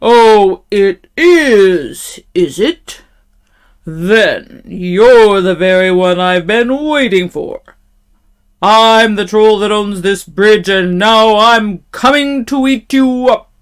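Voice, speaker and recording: A woman voicing a big bullying male troll from a storybook, loud and menacing, with drawn-out words. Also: medium pitch, smooth, lightly acted. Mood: menacing, gloating. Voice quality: loud, forceful.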